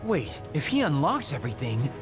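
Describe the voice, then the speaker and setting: A sardonic young male lone wolf from an anime game, wry and sly, with very lively swings in pitch. Music plays clearly behind him. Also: moderate pace. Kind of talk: anime game English dub acting.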